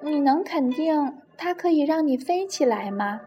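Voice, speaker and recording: A woman's voice: sits high, animated, a little slow, mellow, soft background music, pitched above the performer's own voice. A woman voicing a big, friendly male bird, a caring companion in a storybook, with a kindly manner. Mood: doubtful.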